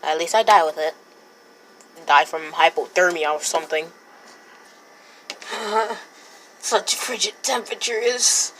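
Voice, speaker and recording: A woman's voice: lowish voice, slow pace, long pauses, a bit bright, slight background noise, rough recording. A woman voicing a small, bored, playful male otter creature in a fan comic dub, whiny and fond of dramatic sighs. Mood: worried. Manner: whiny, dramatic sighs, grows gloomy when trapped.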